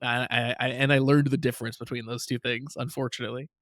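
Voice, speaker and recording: Male podcast co-host, mid pitch, self-deprecating and giggly, stammers, dry close mic. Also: little pitch movement, fast, a bit bright, no echo. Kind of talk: casual chat.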